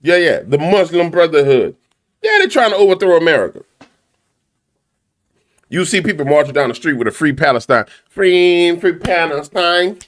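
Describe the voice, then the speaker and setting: A man hosting a commentary show, ranting loudly and emphatically with a repetitive, preacher-like cadence. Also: a little high, sing-song, moderate pace. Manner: loud, big voice. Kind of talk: fiery commentary rant.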